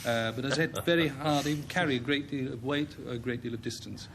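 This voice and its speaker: A man on a panel game giving a halting, hesitant definition. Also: mid-range voice, vocal fry, fairly bright tone, slight background noise.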